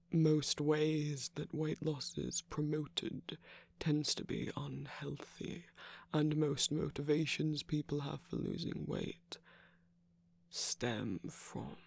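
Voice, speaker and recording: A man playing a seductive, protective villain who dotes on a lover in an intimate ASMR roleplay, speaking slowly and soothingly in a breathy near-whisper. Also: fairly high pitch, steady tone, a little mellow, exaggerated voice. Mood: gently concerned. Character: seductive, protective male villain doting on his hero lover. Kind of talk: ASMR romantic roleplay audio.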